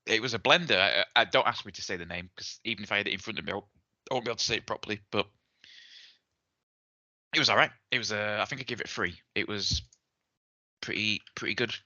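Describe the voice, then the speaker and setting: A man's voice: fairly low pitch, fast, long pauses, pushed and bright, no echo. A man co-hosting a casual whisky podcast, chatty and rambling, laughing easily as he talks. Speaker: podcast co-host. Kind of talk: casual chat. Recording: slightly dull lo-fi sound.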